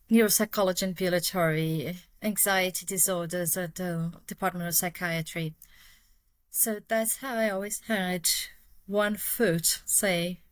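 A woman's voice: fairly low pitch, dry recording. A Brazilian woman, a neuroscientist guest, answering interview questions carefully with many fillers and self-corrections. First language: Portuguese. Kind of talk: casual interview talk.

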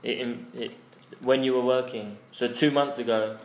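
A man's voice: medium pitch, fairly even intonation, moderate pace. A man interviewing a traveller, asking curious follow-up questions in a casual chat. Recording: echoey room with faint noise.

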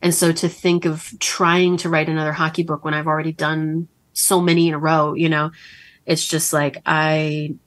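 A woman's voice: deep voice, moderate pace. A woman in her thirties from Northern Kentucky, a romance author chatting casually as an interview guest, with lots of ums and likes. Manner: chatty and animated, frequent ums and likes, laughs as she talks.

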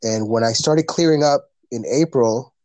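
A man's voice: mid-range voice, normal speed, darkish tone, no room sound. A man, talking earnestly as a podcast guest in a rambling, casual way with many fillers. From Canada. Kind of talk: casual conversation.

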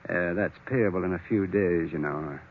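An actor playing a hard, scheming ex-lawyer in an old-time radio drama, blunt, needling and insinuating. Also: male voice, low voice, normal speed, slight background noise. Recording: muffled old radio recording.

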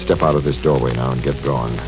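An actor playing a gruff, dry-humored male police homicide captain in a 1940s radio drama. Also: rumbling low, fairly even intonation, fast talker. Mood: tense. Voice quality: gruff, dry, matter-of-fact. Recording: dull old radio recording, faint music.

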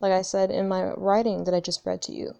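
A woman's voice: medium pitch, little pitch movement, brisk, vocal fry, dark tone, clean tone, no room sound, highs cut off. A woman of twenty-one talking in a candid, rambling way about her spiritual journey, swearing casually and often restarting her sentences. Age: 20s. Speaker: spiritual vlogger. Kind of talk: casual reflective monologue.

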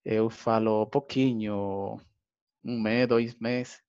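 Slow, hesitant man. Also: on the low side, fairly even intonation, a little mellow, clean tone, lo-fi sound. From Dominican Republic. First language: Spanish. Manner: careful, hesitant learner speech with fillers. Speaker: language student. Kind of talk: interview answers.

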